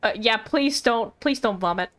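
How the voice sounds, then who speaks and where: Rambling, teasing woman streamer, fairly high voice. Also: normal speed, background noise. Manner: rambling, teasing, repeats words. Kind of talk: casual game stream reaction commentary. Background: game music.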